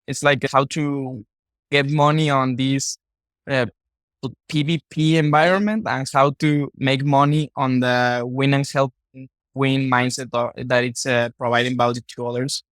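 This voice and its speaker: Halting Mexican man with a dry sound. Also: mid-range voice, fairly bright tone, clear, resonant tone, dry recording. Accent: Mexican Spanish. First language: Spanish. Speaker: podcast guest, content creator. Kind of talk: casual interview talk.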